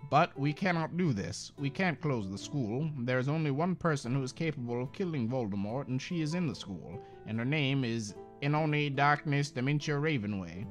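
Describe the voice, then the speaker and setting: A man's voice: medium pitch, animated, moderate pace, few pauses, faint music behind, close to an everyday voice. A man voicing an angry, grave old headmaster in a story reading, speaking defiantly.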